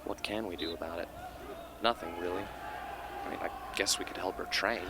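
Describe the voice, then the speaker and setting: A man's voice: deep voice, fairly even intonation, brisk, lightly acted. A man playing a mild, good-natured teenage boy in a radio drama, easygoing and self-deprecating. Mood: resigned. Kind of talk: hobby radio drama acting. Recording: clearly noisy background ambience.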